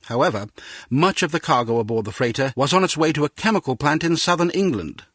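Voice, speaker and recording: An actor playing a grave, authoritative spy agency chief in a video game, speaking in a measured, formal, resolute manner. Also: male voice, a little high, lively intonation, a bit bright, no echo, clean studio sound. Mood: grave resolve.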